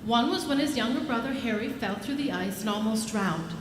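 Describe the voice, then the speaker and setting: A woman playing a brisk, kindly senior angel in a live radio play, explaining things with authority. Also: on the high side, lively intonation, lightly acted. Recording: echoey room with clear background noise.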